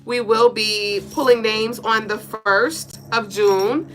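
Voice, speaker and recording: A woman co-hosting a casual livestream, congested and nasal, lively and playful as she greets viewers by name. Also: a little high, slow, slight background noise. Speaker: livestream co-host.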